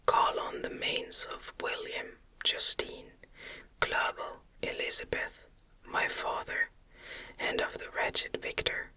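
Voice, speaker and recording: A woman whispers a literary audiobook in a fully unvoiced, airy ASMR style. The delivery is slow and gentle, with crisp, bright sibilance. She keeps it soothing and measured, phrase by phrase. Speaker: ASMR narrator reading an audiobook. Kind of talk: whispered ASMR reading of a gothic novel.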